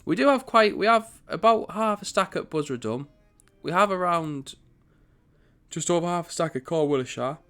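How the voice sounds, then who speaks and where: Chatty man with a croaky voice. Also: a little high, animated, moderate pace, a bit bright, faint music behind. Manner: chatty, rambling, self-correcting. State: croaky morning voice. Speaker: gaming channel host. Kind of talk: casual strategy game commentary.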